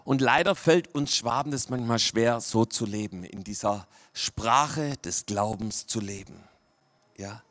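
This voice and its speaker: A man's voice: mid-range voice, a little slow, fairly bright tone. A man from Swabia, preaching a sermon to his congregation, often checking in with "ja?" and dropping to a near-whisper for emphasis. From Swabia, Germany. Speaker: pastor.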